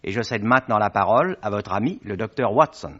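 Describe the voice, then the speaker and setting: A man's voice: lowish voice, lively intonation, fast talker, sharp tone. A man presenting a radio programme in a formal, courteous, scripted 1950s style, on a dull vintage recording. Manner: courteous, ceremonious.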